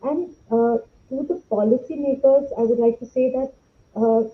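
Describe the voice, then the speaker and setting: A woman's voice: a little high, steady tone, normal speed. Woman on a thin, phone-like connection. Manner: passionate, reflective. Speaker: educator, panelist. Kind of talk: earnest podcast discussion. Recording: thin phone-like online call audio.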